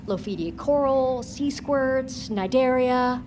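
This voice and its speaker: Clear ambient noise surrounds a knowledgeable woman scientist. Her voice is high, eager and insistent, with precise technical wording, acted naturally for audio fiction. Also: slow pace. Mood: explanatory.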